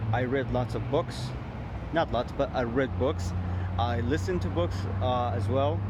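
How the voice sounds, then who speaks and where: A man talks through a reflective vlog in an ordinary mid-range voice, pausing and restarting his sentences as he thinks aloud. He is recorded outdoors, with loud traffic rumbling behind him. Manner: thoughtful, halting, with frequent restarts and fillers. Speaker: vlogger. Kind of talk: reflective personal monologue.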